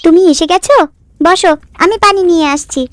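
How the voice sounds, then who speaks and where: A woman's voice: very high-pitched, fairly quick. A woman voicing an affectionate, fussing village woman in a fairy-tale cartoon, coaxing and doting. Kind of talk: Bengali cartoon voice acting. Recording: background music under the voice.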